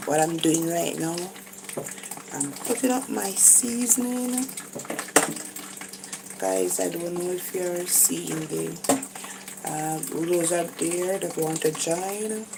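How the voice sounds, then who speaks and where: Slow, low-voiced Jamaican woman. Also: vocal fry. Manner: hesitant, many fillers and restarts. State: tired. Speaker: cooking vlogger. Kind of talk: casual cooking vlog, narrating as she cooks. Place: very small home kitchen. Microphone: phone held above her. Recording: phone audio with kitchen noise.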